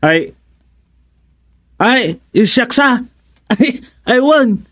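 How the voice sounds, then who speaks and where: A man's voice: very high-pitched, expressive, a bit bright, heavily acted. A man acting as a hesitant, flustered village councilman who stammers, in a radio comedy drama. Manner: halting, stammering.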